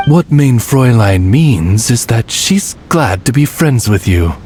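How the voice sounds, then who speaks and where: A man's voice: medium pitch, lively intonation, measured pace, darkish tone, slight background noise, natural voice. A man playing an urbane, butler-like familiar in a video game, polite and wry with a teasing edge. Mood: slyly polite. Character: urbane male familiar, courteous butler-like servant, slyly teasing.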